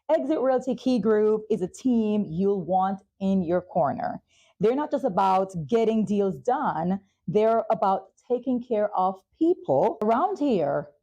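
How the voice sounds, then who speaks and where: Female podcast host, mid-pitched and mellow, polished delivery for sponsor reads and interviews. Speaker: talk show host.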